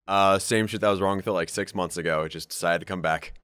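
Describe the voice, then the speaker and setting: A man with a low voice and a dry, close sound. He co-hosts a podcast, chatting about his hobby in an informal, wry way and swearing now and then. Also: fairly even intonation, fast, a bit bright, clean tone, no room sound. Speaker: podcast co-host. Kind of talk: casual hobby chat.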